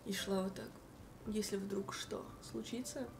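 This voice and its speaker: A woman's voice: mid-range voice, steady tone, unhurried, gravelly, music in the background. A young woman chatting on a stream from a living room, telling stories and laughing easily. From Russia. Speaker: stream co-host. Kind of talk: casual chat.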